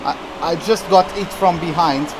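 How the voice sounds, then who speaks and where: A man from Portugal, streaming a racing game and chatting excitedly through the action. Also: on the high side, moderate pace, background noise. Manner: chatty, reacts excitedly to the race. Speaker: gaming streamer. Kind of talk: live game commentary.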